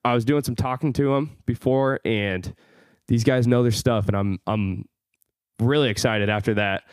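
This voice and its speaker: A young man in his twenties hosting a casual podcast, excitable and cursing as he tells stories. His voice sits in an ordinary middle range. The sound is dry and close, with no room echo. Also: medium pitch, normal speed, smooth. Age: 20s. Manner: excitable, swears a lot, loose storytelling. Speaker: podcast host. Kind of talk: casual chat.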